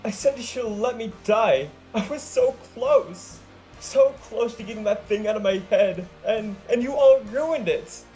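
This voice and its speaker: A woman's voice: on the high side, lively intonation, unhurried, dark tone, soft background music, voice pushed up. A narrator voicing a guilt-ridden teenage girl who wails through choked sobs. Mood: anguished.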